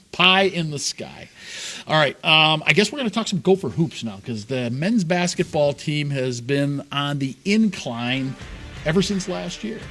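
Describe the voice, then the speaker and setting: Quick-talking sports host. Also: male voice, fairly high pitch, sing-song, sharp tone, soft background music. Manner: quick, loose, laughs between points. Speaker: sports talk show co-host. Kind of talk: casual sports chat.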